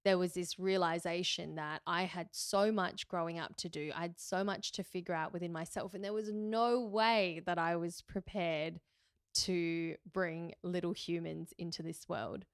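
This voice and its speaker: A woman's voice: fairly low pitch, fairly even intonation, a little slow, smooth, dry recording, studio quality. A woman, hosting a podcast and talking through her own life in a candid, reflective way with fillers and self-corrections. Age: 30s. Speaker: podcast host. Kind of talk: reflective solo podcast talk.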